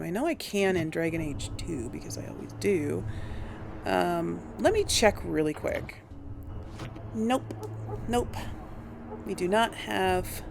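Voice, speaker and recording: Woman chatting thru a video game, kinda slow n gravelly, thinks out loud, some noise behind her. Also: lowish voice. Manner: hesitant, thinking aloud. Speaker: host of a game role-play series. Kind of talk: casual gameplay commentary.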